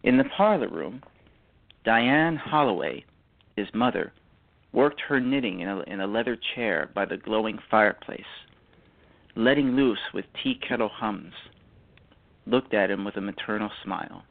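A man reading literary narration for a radio drama, halting and often repeating words. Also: on the low side, expressive, creaky, narrow, tinny audio.

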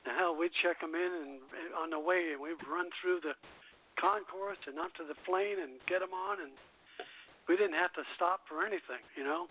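Higher-voiced older man, tinny noisy phone. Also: steady tone, moderate pace. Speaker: radio show co-host. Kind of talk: casual chat, reminiscing. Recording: tinny phone line with faint noise.

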